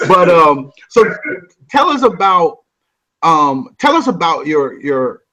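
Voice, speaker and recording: A man's voice: on the high side, sing-song, slow pace, sharp tone, low-quality recording. A man from the Midwest hosting a casual chat, loose and joking. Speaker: host.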